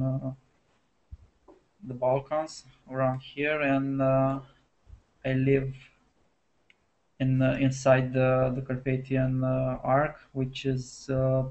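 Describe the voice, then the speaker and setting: Gravelly monotone Romanian man. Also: mid-range voice, poor audio. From Transylvania, Romania. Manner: relaxed, hesitant, uh-filled. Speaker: landscape photographer. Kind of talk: casual self-introduction.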